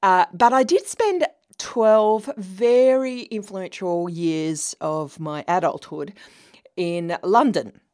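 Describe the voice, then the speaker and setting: Australian woman in her fifties, lowish. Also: slow pace, darkish tone. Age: 50s. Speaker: podcast host. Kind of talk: casual solo podcast monologue.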